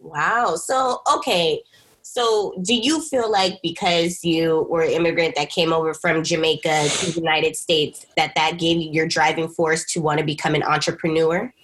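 A woman's voice: sits low, fairly even intonation, moderate pace, fairly bright tone, slightly rough. A young adult woman hosting an interview podcast, upbeat, reading scripted introductions. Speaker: podcast host.

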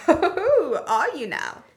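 Woman doing a nosy old innkeeper lady, high voice, real slow, theatrical and welcoming, radio play. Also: huge pitch swings, heavily acted. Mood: amused, indulgent. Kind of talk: student radio play acting.

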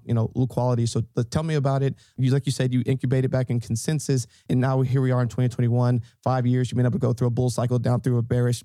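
A man hosts an interview in an upbeat, chatty way, asking long questions that ramble. His delivery is flat and even. His tone is mellow, and the recording is dry and close. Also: mid-range voice, dry recording. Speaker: interview host. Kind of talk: casual interview hosting.

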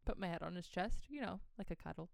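A woman's voice: on the low side, lively intonation, fast, creaky, a little hiss or hum. A young woman co-hosting a podcast in casual confessional chat, dramatic and joking, with crude language. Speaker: podcast co-host.

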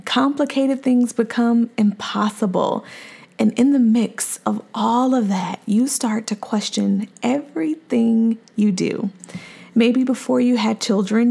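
A woman hosting her own podcast as a mom therapist and coach, talking in a soft, calm, friendly way. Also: on the high side, gravelly, slightly rough. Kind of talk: solo podcast monologue.

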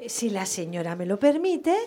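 An actress playing a sly young maid in radio theatre, deferential and coy but worldly. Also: female voice, mid-range voice, big pitch swings, normal speed, mellow, natural voice. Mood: coy, businesslike.

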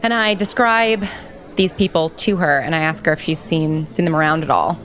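A woman's voice: sits low, normal speed, fairly bright tone. A woman playing a tabletop game, chatting casually and playfully about what her character does.

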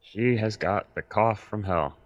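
A man's voice: fairly low pitch, unhurried, no room sound, dull audio. A middle-aged man, chatting casually and explaining things as an expert guest.

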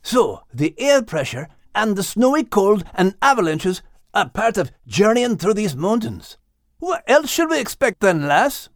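A hearty Scottish watchdog acted out by a woman in a children's audiobook. He is protective and plainspoken, with a brisk delivery and a Scottish accent. The pitch is raised and lively, the sound close and dry. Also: no room sound. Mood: curious.

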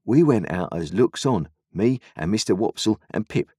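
A man's voice: on the low side, lively intonation, warm tone, breathy edge, dry recording, clean studio sound. A man voicing a kindly, plain-spoken country blacksmith in homely dialect, raised well above his own voice. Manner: homely dialect grammar, slow and easygoing.

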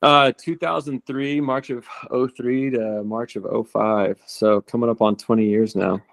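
A man in his late thirties, a returned missionary, telling stories in a relaxed, rambling interview style. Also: medium pitch, a little slow, creaky, no room sound. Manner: relaxed, rambling with fillers and restarts. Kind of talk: conversational interview storytelling.

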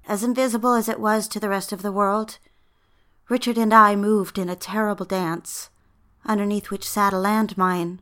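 An actress playing an anxious, romantic young bride-to-be in a period drama, speaking in a genteel, earnest, old-fashioned way. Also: female voice, medium pitch, steady tone, normal speed, a bit bright, close to an everyday voice. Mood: ominous, confessional. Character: anxious young bride-to-be office woman, reflective romantic narrator. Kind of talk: dramatized first-person narration and dialogue, period radio drama.

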